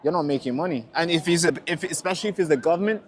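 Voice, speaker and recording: A man's voice: fairly high pitch, rapid-fire. A young man from Tanzania debating a passerby in the street, pushing his point and repeating words as he presses it. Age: young adult. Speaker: street interviewer and rally speaker.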